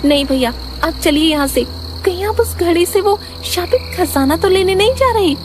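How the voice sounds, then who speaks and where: A woman voicing a worried, devoted young sister with an earnest, pleading manner in an animated moral story. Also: extremely high pitch, moderate pace, noisy background. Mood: worried, urging.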